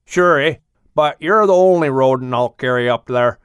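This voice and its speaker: A man's voice: mid-range voice, moderate pace, a bit bright, clear, resonant tone, no echo, clean studio sound, natural voice. A man voicing a grumbling, bossy moose with a blunt, grumpy manner in an audiobook. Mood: grudging. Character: grumbling, put-upon male moose, gruffly bossy. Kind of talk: audiobook character voice.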